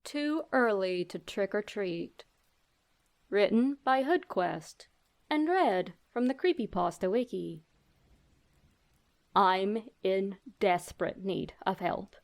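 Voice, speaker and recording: Female horror narrator, medium register, deliberate dramatic delivery for scripted tales. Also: medium pitch, expressive, vocal fry. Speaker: host and narrator of horror stories.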